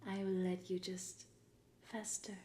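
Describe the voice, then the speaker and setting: A woman playing a mocking, menacing pirate queen in a soft-spoken ASMR roleplay, breathy and often whispering close to the mic, drawing out and repeating her words. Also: lowish voice, level tone, a little hiss or hum, lightly acted. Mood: stern, threatening. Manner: slow, drawn-out, repeating words, theatrical. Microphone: close ASMR microphone.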